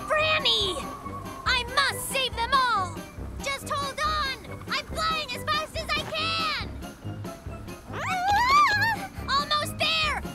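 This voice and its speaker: A woman voicing a cheerful, boastful boy bear cub who invents things, bouncy and excitable. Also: very high-pitched, exaggerated voice. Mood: frantic. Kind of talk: cartoon voice acting. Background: music.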